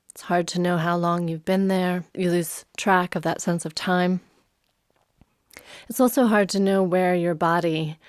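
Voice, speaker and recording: A woman leading a guided meditation as a yoga nidra teacher, soothing and unhurried, leaving long pauses. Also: on the low side, no room sound, studio quality.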